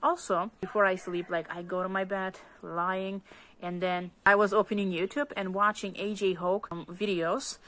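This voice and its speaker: A woman's voice: medium pitch, little pitch movement, normal speed, fairly bright tone, slight background noise. Chatty Turkish-accented young woman. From Turkey. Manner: chatty, lots of "like" and "you know" fillers, laughs easily. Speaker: vlogger. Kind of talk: casual personal storytelling.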